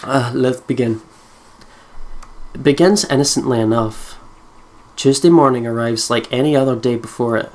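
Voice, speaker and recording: A woman's voice: extremely low pitch, normal speed, a little mellow. A Scottish woman hosting a let's play, chatting loosely and swearing as she goes. She laughs a lot and reads the game's text aloud in between her comments. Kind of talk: casual gameplay commentary and reading aloud.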